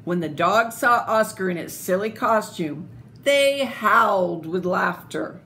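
An older woman reading a children's picture book aloud in an expressive, deliberate, theatrical way, with little asides. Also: mid-range voice. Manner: slow, deliberate, theatrical phrasing. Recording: clearly noisy home video recording.

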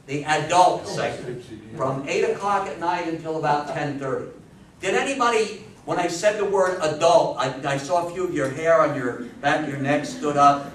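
High-pitched older man lecturing, roomy sound. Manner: emphatic storytelling, repeats phrases, asks the audience questions. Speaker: lecturer on old-time radio history. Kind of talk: animated public talk to an audience. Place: meeting room with seated older audience. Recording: roomy, distant camera mic with background noise.

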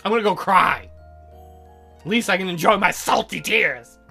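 A woman's voice: mid-range voice, expressive, moderate pace, creaky, bright, forceful tone, breathy edge, faint music behind. A woman doing joking game commentary as a let's play host, with an irreverent, crude, teasing manner.

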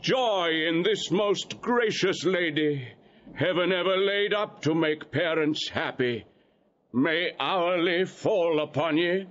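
Slow, solemn archbishop. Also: male voice, a little high, a bit bright, lo-fi sound. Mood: ceremonial blessing. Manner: declamatory, reverent, oratorical. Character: solemn, exalted archbishop prophesying blessings. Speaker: actor playing an archbishop. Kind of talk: Shakespearean audio drama acting.

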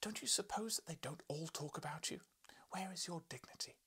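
A man voicing an indignant, scolding wife in an audiobook, with a breathy voice. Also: a little high, brisk, bright, forceful tone, pitched above the performer's own voice, heavily acted. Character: indignant, scolding wife of a professor, high-strung woman. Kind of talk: audiobook character voice.

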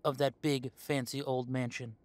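A man's voice: mid-range voice, little pitch movement, moderate pace, no room sound, lightly acted. A man playing a young adult werewolf in an audio drama, an estranged heir who talks with sarcasm and indignation. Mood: sarcastic. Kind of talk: audio roleplay drama acting.

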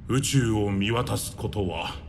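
An actor voicing a solemn, contemplative hero in a scripted game line. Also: male voice, fairly low pitch, takes it slowly, creaky, a little hiss or hum.

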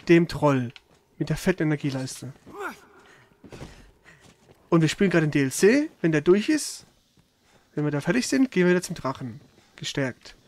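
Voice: medium pitch, creaky, a little mellow. A gamer giving casual let's play commentary, grumbling and swearing in a slangy way.

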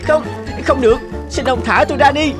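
Lady doing a tiny sweet cartoon bird, high and pleading, super plaintive, music behind. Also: brisk, natural voice. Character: small, gentle, pleading blue bird devoted to parents. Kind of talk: animated folk-tale acting.

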